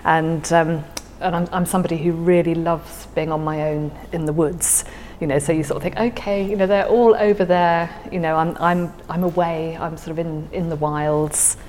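A woman's voice: low voice, a little mellow, in a large hall, slight background noise. A woman talking reflectively about poetry as an interview guest, thoughtfully repeating words as she goes. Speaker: podcast guest discussing a favourite poem.

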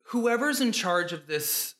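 A man's voice: very high-pitched, sing-song, measured pace, fairly bright tone. A man co-hosting a casual chat, telling an anecdote animatedly, laughing often and using "like" a lot. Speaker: podcast co-host.

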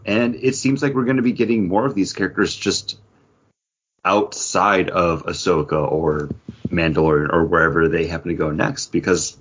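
A man's voice: low voice, steady tone, moderate pace, fairly bright tone, slightly rough, dull audio. A man co-hosting a podcast, chatting casually and enthusiastically about geek news. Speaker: podcast co-host.

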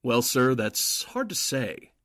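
An actor playing an earnest, easily flustered underling of Nazi agents, speaking deferentially in 1940s radio drama style. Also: male voice, medium pitch, big pitch swings, slow, a bit bright. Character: eager, deferential male informant for Nazi agents.

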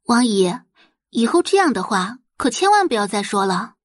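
A woman performs a young heiress in an audio drama. Her voice sits high and lilts gently, all polite consideration over quiet condescension. The sound is dry. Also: big pitch swings, moderate pace, no room sound. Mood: sweet, gentle. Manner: soft, gentle, considerate phrasing. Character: gentle-seeming young heiress, sweetly hypocritical and secretly scornful.

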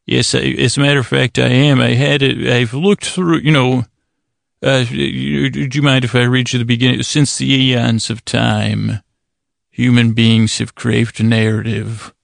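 A man as a gravelly, bluffing fake academic. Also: mid-range voice, level tone, unhurried, dry recording, clean studio sound. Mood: pompous. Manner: formal, stumbling, bluffing. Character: self-important fake university researcher, bluffing caller.

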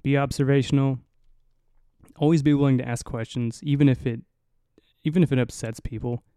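A young man hosting a solo podcast in a low, mumbly voice, rambling and swearing casually, right up on the mic. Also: steady tone, fairly quick, dark tone, clean tone, no echo, studio quality. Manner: rambling, profane, self-mocking. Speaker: podcast host. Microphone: close to the mic.

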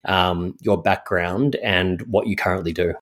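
Deep-voiced male podcast host. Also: steady tone, unhurried, fairly bright tone. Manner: rambling, hesitant when asking questions. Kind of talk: interview podcast.

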